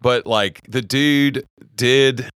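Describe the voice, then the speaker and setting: Man, West Virginia accent, middle-aged, podcast co-host, casual heated talk, profane, restarts sentences, smooth voice, dry close mic. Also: medium pitch, fairly even intonation, extremely slow, a bit bright, no echo. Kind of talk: casual opinionated chat.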